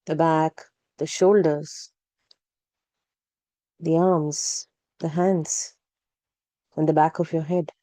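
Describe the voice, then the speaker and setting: A woman guides a meditation in a calm, gentle manner. Her voice sits a little low and is very mellow and warm, captured dry and close without room sound. She gives short instructions without hurry and leaves generous pauses between them. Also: no echo. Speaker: yoga nidra guide.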